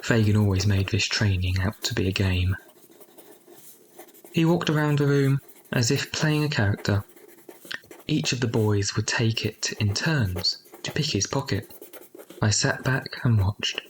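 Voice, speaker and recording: A man's voice: lowish voice, lively intonation, measured pace, pauses often, slight background noise, poor audio. An actor playing an earnest narrator who recalls his orphan boyhood in an audio drama, with a scripted storytelling style. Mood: reflective. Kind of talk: scripted first-person narration.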